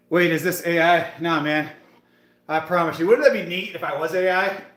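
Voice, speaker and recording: Livestream fitness host, man in his thirties, slightly high voice, loose hyped chat, roomy echo. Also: a bit bright. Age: 30s. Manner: chatty, hyped, talks to viewers in the chat. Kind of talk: casual live-stream chat while working out.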